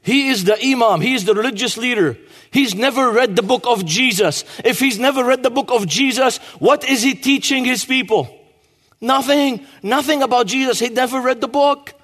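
Guest preacher, man in his 40s, high voice, long church reverb. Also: normal speed, fairly bright tone. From Lebanon. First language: Arabic. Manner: emphatic, repeats key phrases. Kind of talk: sermon.

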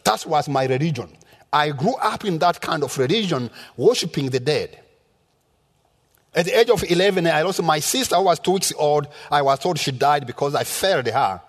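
A man's voice: on the high side, animated, normal speed. A man from rural Rwanda with a Rwandan accent, preaching a sermon in an emphatic, teaching manner that leans on repetition. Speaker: guest preacher. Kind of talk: sermon with personal testimony. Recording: reverberant hall sound.

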